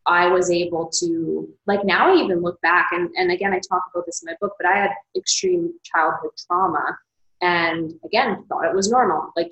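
A woman's voice: on the low side, a bit bright. Reflective woman in an echoey room. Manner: candid, reflective, many "like" fillers. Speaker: guest, author and coach. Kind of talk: casual interview conversation.

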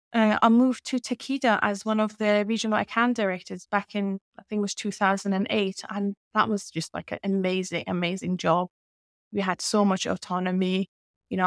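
Woman in her 50s from iran, scottish-english accent, smooth but gravelly voice, dry close mic. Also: mid-range voice, dry recording. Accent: mixed Scottish-English. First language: Persian. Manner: fluent, steady telling of her career with fillers. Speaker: guest, company founder. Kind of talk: conversational interview.

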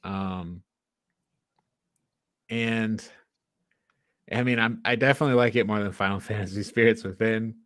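A man's voice: lowish voice, steady tone, measured pace, pauses often, a bit bright, no echo, studio quality. A man hosting a podcast, talking casually as he reviews a film. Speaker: podcast host.

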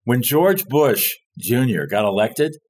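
A man's voice: mid-range voice, very animated, slow pace, a little mellow. A man telling a long story in casual conversation, rambling and stopping to search for words. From born in France, grew up overseas. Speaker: guest telling stories.

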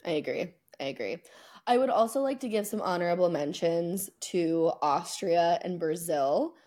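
A woman with a somewhat low voice talks as a podcast co-host. Her delivery is casual and conversational, with emphatic, exaggerated phrasing and openly opinionated turns. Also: expressive, normal speed, mellow. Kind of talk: casual sports chat.

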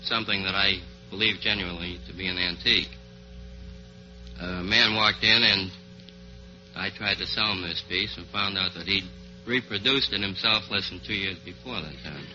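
Man, low voice, very bright forceful edge, earnest formal answers, muffled vintage radio recording. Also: normal speed, soft background music. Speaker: contestant, antique dealer. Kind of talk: interview answers on a radio quiz. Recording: dull old-time radio recording.

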